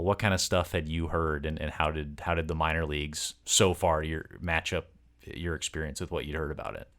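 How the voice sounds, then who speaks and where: A man's voice: deep voice, a bit bright. A man interviewing as a sports podcast host, conversational, with wordy questions that meander through several parts.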